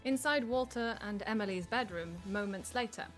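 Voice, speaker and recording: A woman's voice: medium pitch, normal speed, fairly bright tone, faint music behind. A woman reading scripted stage directions as narrator at a comedic live table read.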